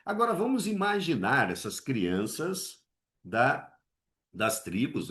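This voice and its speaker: Lively low-voiced Brazilian teacher. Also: male voice. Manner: asks questions and answers them himself, with many "né?" tags; swings his pitch high to act out voices. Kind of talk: lively exam-prep essay lesson.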